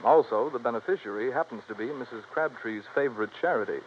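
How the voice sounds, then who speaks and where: A male actor playing an earnest, fussy insurance office man, brisk and mildly scolding, in 1950s radio drama style. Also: mid-range voice, close to an everyday voice. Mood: brisk briefing. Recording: dull vintage radio recording, faint noise.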